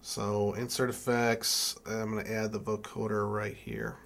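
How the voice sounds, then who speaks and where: A man in his twenties giving a casual hands-on tutorial, thinking aloud in a halting way as he works. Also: lowish voice, vocal fry, fairly bright tone. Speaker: music keyboard tutorial presenter.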